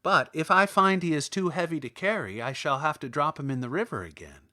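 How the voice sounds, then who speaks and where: A man voicing a kind, brisk, motherly stork in a storybook, with a matter-of-fact, helpful manner. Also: on the high side, pushed and bright, dry recording, clean studio sound. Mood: kindly.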